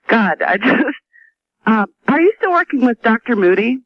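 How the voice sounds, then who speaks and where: A woman's voice: fairly high pitch, sing-song, normal speed, no echo. A woman calling in to a radio show, chatting in a gushing, hesitant way. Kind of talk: casual chat. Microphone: phone call to radio show. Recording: dull lo-fi caller audio on radio.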